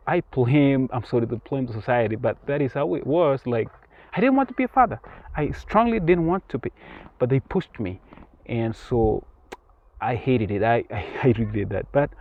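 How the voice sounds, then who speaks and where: A man's voice: medium pitch, phone-quality sound. A Kenyan man who speaks English with an American-sounding accent, talking earnestly in an interview and often repeating words. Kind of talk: casual interview. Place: outdoors in rural Kenya.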